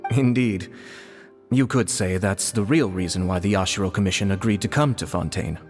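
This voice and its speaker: A man voicing a calm, courteous young nobleman. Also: deep voice, close to an everyday voice. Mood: composed. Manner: measured, formal, polite. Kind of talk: game dialogue. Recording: reverberant with music behind.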